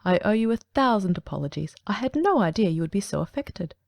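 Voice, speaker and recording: A woman voicing a calm, precise middle-aged male detective who tells his tale in a measured, composed way in a dramatic audiobook reading. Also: mid-range voice, creaky, no echo. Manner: measured, dry, composed storytelling.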